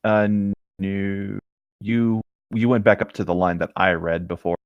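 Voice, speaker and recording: A man's voice: deep voice, unhurried, no echo, dull audio. A man co-hosting a read-along, narrating fan fiction and commenting on it in a playful, casual way. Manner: amused, playful. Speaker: co-host of a fan fiction reading show.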